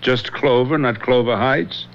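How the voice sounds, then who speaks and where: An actor playing a skeptical police detective lieutenant questioning witnesses in a dry manner in a radio drama. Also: male voice, medium pitch, measured pace, lightly acted. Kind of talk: 1970s radio drama acting. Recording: dull lo-fi radio recording with hiss.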